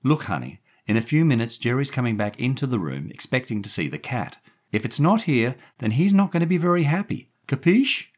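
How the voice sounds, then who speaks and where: A man's voice: medium pitch, very animated, brisk, pitched above the performer's own voice. A man voicing a brassy, coaxing young American woman, a gangster's moll with slangy talk, in a story reading. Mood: coaxing, warning. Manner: slangy, coaxing, calls people honey.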